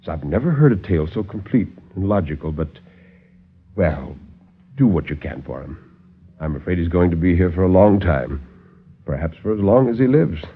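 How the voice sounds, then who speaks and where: A man voicing a calm, guarded psychiatrist, soft-spoken and measured, in 1950s radio drama style. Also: low voice, breathy edge, exaggerated voice. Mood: grave, clinical. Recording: muffled 1950s radio recording.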